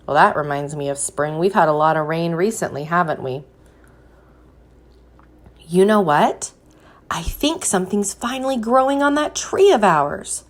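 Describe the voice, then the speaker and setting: A woman reading a picture book aloud like a teacher, pausing to put questions to young listeners and encourage them. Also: lowish voice, lively intonation. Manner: slow, deliberate, encouraging prompts.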